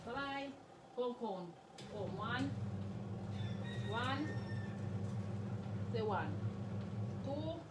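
Slow-talking Jamaican woman vlogging at home. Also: on the high side, expressive, recorded from a distance, heavy background noise. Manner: slow, easygoing patois, coaxing a toddler. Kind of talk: casual home vlog chat. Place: bedroom and kitchen at home.

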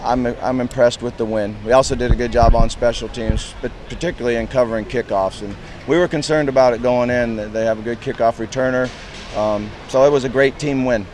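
A man in his fifties, a head football coach, answering reporters outdoors at a stadium in a plain, measured way with lots of "you know" fillers. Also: mid-range voice, fairly even intonation, a little mellow, a little hiss or hum. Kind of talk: post-game press interview.

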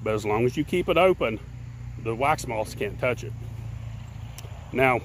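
Man, mid pitch, folksy rambling beekeeping how-to, talks outdoors into a handheld camera. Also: expressive, unhurried, a little hiss or hum. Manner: rambling, repeats words, plain folksy speech. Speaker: beekeeper and channel host. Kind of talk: casual how-to talk while working hives. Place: outdoors beside beehives in a grassy yard. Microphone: handheld camera held by the speaker.

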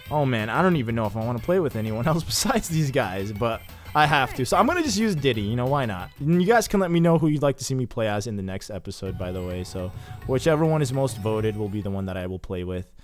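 A young man giving casual, excitable let's-play commentary over his gameplay, chatting with jokey asides. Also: mid-range voice, fast, faint music behind. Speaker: gaming YouTuber.

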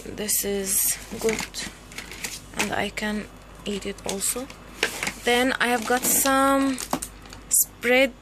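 Slow-talking woman, halting casual chat. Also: a little high. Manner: halting, searching for words. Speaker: vlogger showing a grocery haul. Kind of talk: unscripted casual show-and-tell chat. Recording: noisy handheld home recording with room ambience.

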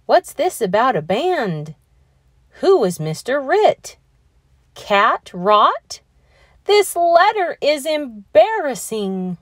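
Woman doing excitable schoolkids, high-pitched. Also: dry recording, studio quality, full character voice. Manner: high, exclamatory delivery. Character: excitable, easily embarrassed schoolchildren.

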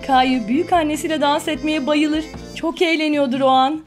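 A woman's voice: high voice, steady tone, normal speed, soft background music. A woman reading scripted storybook narration for a children's cartoon.

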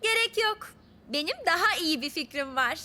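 A woman voicing a bright, confident young girl who explains things in a children's cartoon. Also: very high voice, lively intonation, moderate pace. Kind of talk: children's cartoon dub acting. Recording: music under the voice.

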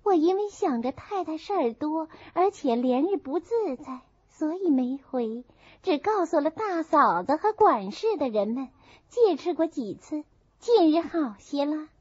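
An earnest young noblewoman , played by a woman narrator, explains respectfully in a high, lively voice. She is outspoken, a storybook young lady on a dull, dry recording. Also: unhurried, no echo. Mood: earnest, concerned.

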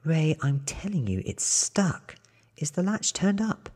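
A man voicing a cool, cunning female vampire feigning innocence in soft, whispery ASMR audiobook dialogue. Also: a little high, big pitch swings, unhurried, big-room sound, voice pushed up, heavily acted. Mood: feigned frustration. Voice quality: breathy, whispery.

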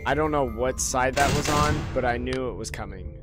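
A slightly hoarse man streaming a horror game, mumbling commentary to himself with many ums. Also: mid-range voice, normal speed. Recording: voice over loud game music.

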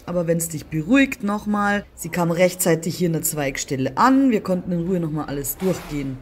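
Woman playing a composed daughter, slightly low voice. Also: animated, fairly quick. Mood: composed, dismissive. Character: matter-of-fact businesswoman reassuring her worried mother. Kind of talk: audio drama acting.

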